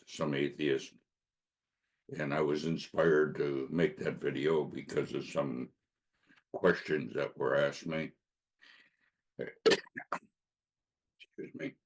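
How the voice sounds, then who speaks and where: A hoarse man with a sore throat hosting a podcast, talking through a casual faith testimony in an earnest, halting way with many filler pauses. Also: extremely low pitch. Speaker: podcast host.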